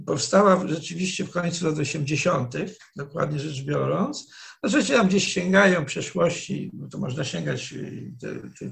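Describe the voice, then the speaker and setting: Older man with a gravelly voice, professorial. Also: on the high side. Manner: measured, digressive, with restarts and self-corrections. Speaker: professor, interview guest. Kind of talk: explanatory academic talk. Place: study with dark wooden shelves, indoors.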